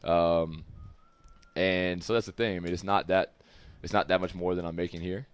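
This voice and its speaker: A man's voice: low-pitched, fairly even intonation, moderate pace, smooth. A man in his twenties from the United States, a football player talking candidly and easily as a guest in a studio. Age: 20s. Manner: candid, easygoing, loose and rambling. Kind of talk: casual interview talk. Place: studio room with several people.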